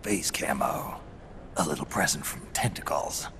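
An actor playing a gruff, world-weary undercover soldier in a video game, terse and guarded, with a gravelly half-whisper. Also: male voice, extremely low pitch, lively intonation, moderate pace. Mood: dry, guarded.

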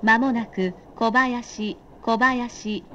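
Formal woman announcer, unhurried and higher-pitched. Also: steady tone, a bit bright, muffled recording, slight background noise. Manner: formal, polite, measured scripted delivery. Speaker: automated train announcer. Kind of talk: recorded train announcement in short set phrases.

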